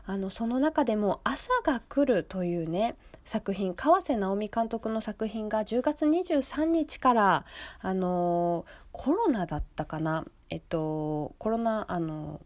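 A woman's voice: mid-range voice, lively intonation, measured pace, no room sound. A woman hosting a radio show alone, chatting casually and conversationally straight to her listeners. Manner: conversational, listener-addressing, soft sentence-ending particles. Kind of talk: casual solo radio talk.

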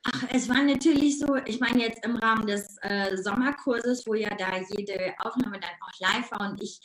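A woman coach from the Ruhr area with a Ruhr German accent, talking in a casual interview, lively and bubbly, with words tumbling out and frequent laughs. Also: on the high side, normal speed, reverberant, poor audio.

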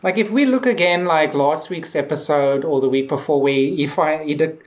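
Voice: mid-range voice, moderate pace. A podcast co-host talking casually in a discussion, conversational and peppering sentences with "like". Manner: conversational, heavy use of "like".

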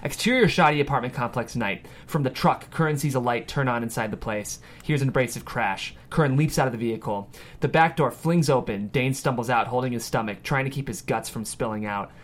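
A man's voice: medium pitch, fairly quick. A man reading scripted screenplay stage directions as the narrator of a table read.

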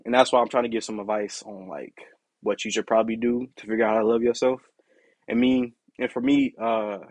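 A young man with a deep, raspy voice hosting his own podcast, talking in a candid, rambling way with lots of "you know" and "like". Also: darkish tone, no room sound. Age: young adult. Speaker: podcast host. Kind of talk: casual solo podcast monologue.

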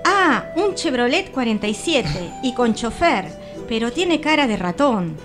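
A woman's voice: a little high, sing-song, unhurried. A woman playing a naive, plaintive young heroine in comic radio theatre, melodramatic and wistful, with an Argentine accent. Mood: wondering. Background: music.

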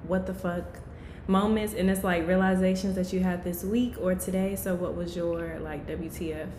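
A woman's voice: lowish voice, fairly even intonation, normal speed, a little mellow, echoey room, slight background noise. A woman in her twenties hosting a podcast in an upbeat, encouraging, frank way. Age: 20s. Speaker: podcast host.

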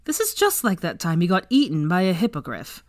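A woman voicing a bored, teasing young man with a whining, drawn-out way of talking. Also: on the low side, very animated, warm tone. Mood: whiny, mocking.

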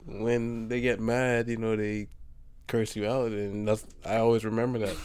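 A man's voice: on the low side, flat delivery, normal speed, darkish tone, clear, resonant tone. A man from the Bahamas chatting casually over drinks, hesitant, often repeating words and laughing.

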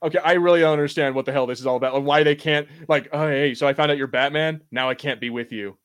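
Male podcast host, quick casual talker, fairly high voice, animated. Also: smooth. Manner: fast, animated, swears casually. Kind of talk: casual film-watching podcast chat.

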